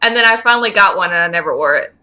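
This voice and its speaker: A woman's voice: fairly low pitch, animated, rapid-fire, bright, forceful tone. A woman co-hosting a podcast in casual fan chat, speaking in an emphatic, opinionated way. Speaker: podcast co-host.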